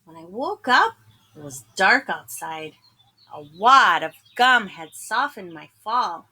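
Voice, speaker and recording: A woman's voice: mid-range voice, very animated, sharp tone, husky, heavily acted. Woman as a dramatic, confessing little bad seed. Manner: dramatic, confiding, drawn-out emphasis. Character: small self-confessed bad sunflower seed, glum yet earnest. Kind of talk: picture book narration in first person.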